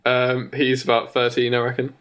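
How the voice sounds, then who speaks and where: A man improvises casually as a podcast co-host. His voice is average in pitch. The recording carries faint noise and the odd click. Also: completely flat delivery, normal speed, a bit bright. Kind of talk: casual improvised comedy chat.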